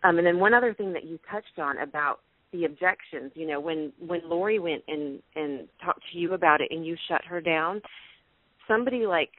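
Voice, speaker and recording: A woman's voice: on the low side, moderate pace, no echo. A woman taking part in a telephone conference call, talking in an enthusiastic, emphatic and conversational way from personal experience. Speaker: participant on a business conference call. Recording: narrow, tinny telephone conference line.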